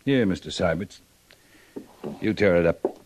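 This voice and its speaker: A man's voice: deep voice, expressive, unhurried, darkish tone, slight background noise. A man playing a stern, curt cavalry captain in an American radio western, clipped and commanding. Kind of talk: old-time radio western acting. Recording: dull vintage radio recording.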